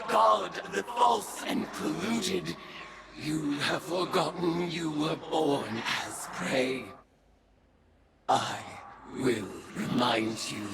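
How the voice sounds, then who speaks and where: A woman voicing a grim, vengeful mystic turned dark god in a video game, speaking in solemn, archaic incantations. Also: on the low side, creaky, heavy background noise, heavily acted. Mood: menacing. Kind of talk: video game character acting.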